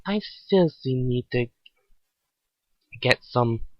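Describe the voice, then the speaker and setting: A man voicing a kind, curious young woman mechanic in a story reading, earnest and halting. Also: mid-range voice, animated, no room sound. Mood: musing. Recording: dull lo-fi recording.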